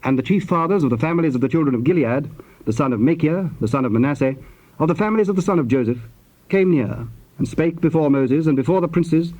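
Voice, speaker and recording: A man narrating scripture from a script in a formal, measured style. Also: fairly high pitch, lively intonation, dark tone. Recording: slightly dull tape sound.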